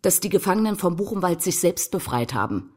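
Scornful young political activist for radio drama; slightly low register, curt and accusing delivery. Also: female voice, very animated, a little fast. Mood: insistent, accusing. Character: combative young left-wing activist woman, defiant and scornful. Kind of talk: German crime radio play dialogue.

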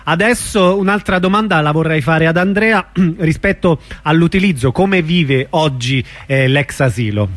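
A man's voice: on the high side, a little slow, fairly bright tone, dry recording. A man interviewing guests conversationally on the radio.

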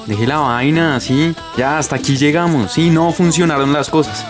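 A man's voice: a little high, moderate pace, over music, close to an everyday voice. A man playing a conceited, dismissive husband with a Colombian accent in a radio sketch. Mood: exasperated. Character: smug, conceited husband breaking up with his wife.

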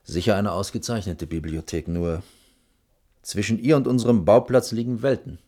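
Low-pitched, stern, brusque boss. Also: male voice, moderate pace, dark tone. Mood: cold, lecturing. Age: late thirties. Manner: formal, brusque, dry officialese. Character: stern, curt, dutiful boss playing at authority. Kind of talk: 1980s German radio drama acting.

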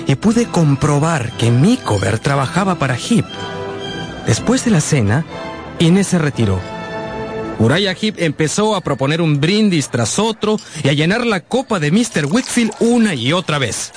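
A man playing a heartfelt narrator who tells his own youth in a scripted radio drama, with an expressive storytelling delivery. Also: fairly high pitch, moderate pace. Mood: reflective, troubled. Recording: music behind the narration.

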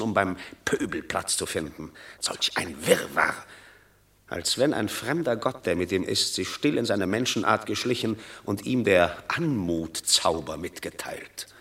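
An actor playing a scheming Roman tribune of the people in a radio play, speaking with scorn. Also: male voice, lowish voice, lively intonation, measured pace, fairly bright tone. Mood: scornful. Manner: scornful, wordy descriptive speech. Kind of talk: classic radio play acting.

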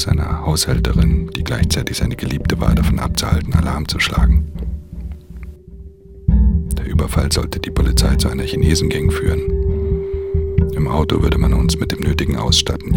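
Wary tired pickpocket in a radio play, super low creaky voice, hushed n terse, music behind. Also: male voice. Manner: terse, hushed, matter-of-fact. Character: wary, world-weary male pickpocket narrating his crimes. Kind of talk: German radio play narration and dialogue. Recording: music under the voice.

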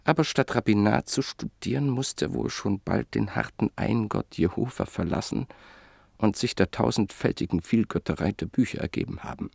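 A man with a low, gravelly, husky voice narrates a classic radio play. He speaks slowly, in a measured and reflective way, and sometimes sinks to a near whisper. His tone moves widely, and the sound is close and dry. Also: a little mellow, no echo.

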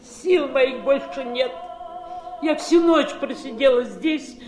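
An actress playing an anxious, weary, outspoken housemaid in a radio drama, plaintive and emotive. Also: female voice, sits high, slow pace, darkish tone. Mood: indignant, plaintive. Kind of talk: theatrical radio drama acting. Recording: echoey room over music.

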